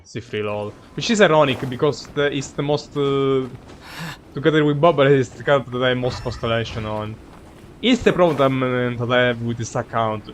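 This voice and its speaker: A man's voice: mid-range voice, moderate pace, a little hiss or hum. A man who is a non-native English speaker gives casual live game commentary, rambling and searching for words. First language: not English. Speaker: game streamer.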